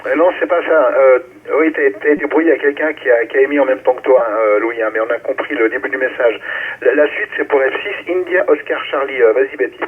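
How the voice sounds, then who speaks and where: A man's voice: medium pitch, fast talker, breathy edge. A man acting as controller of an amateur radio round-table, handing the microphone along with call signs. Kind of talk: ham radio relay chat with call signs. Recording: phone-like, narrow amateur radio relay audio.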